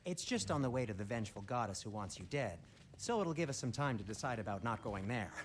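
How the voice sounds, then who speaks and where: Man playing a fussy dwarf, wildly animated pitch. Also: fast, a little hiss or hum, lightly acted. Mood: nervous, evasive. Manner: hedging, stammering, over-explaining. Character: fussy, nervous, evasive adult male dwarf. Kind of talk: video game dialogue acting. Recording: music playing behind the voice. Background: background music.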